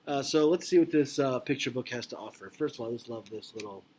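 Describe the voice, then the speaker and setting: A man reading a picture book aloud to children like a teacher, expressive and deliberate, with informal explanatory asides. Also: medium pitch, slight background noise.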